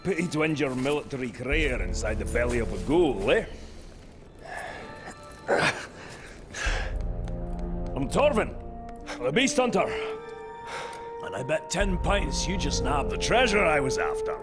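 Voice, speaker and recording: An actor playing a boisterous, roguish dwarf beast hunter in a video game cutscene, hearty and teasing, telling tales with swagger. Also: male voice, a little high, very animated, moderate pace, over music. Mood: hearty, bargaining. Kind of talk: theatrical game cutscene dialogue.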